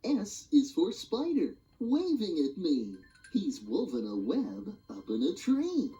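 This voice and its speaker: A woman reading a rhyming children's animal alphabet book aloud in a sing-song, expressive way. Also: lowish voice, slow. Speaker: storybook reader. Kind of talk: children's read-along narration. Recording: dull lo-fi audio with music behind.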